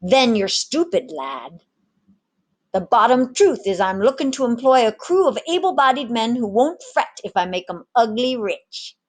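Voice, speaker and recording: Woman acting a roguish pirate mate, pitched up. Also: big pitch swings, normal speed. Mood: swaggering, enticing. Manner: rough sailor slang, bullying. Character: roguish, scheming one-armed pirate chief mate, male, bossy.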